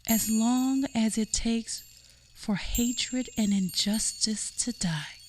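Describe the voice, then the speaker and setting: A woman giving a solemn, measured poetry reading in a radio theater production. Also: on the high side, a little hiss or hum. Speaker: poem reader.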